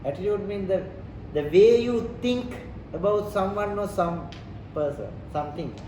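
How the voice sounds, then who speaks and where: A man with a Sri Lankan accent explains things step by step in simple non-native English, circling back over his phrases. His voice sits a little high for a man. It is picked up from some distance in a roomy space with clear background noise. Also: a little slow. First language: not English. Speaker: interviewee explaining leadership and culture. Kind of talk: informal explanatory teaching talk.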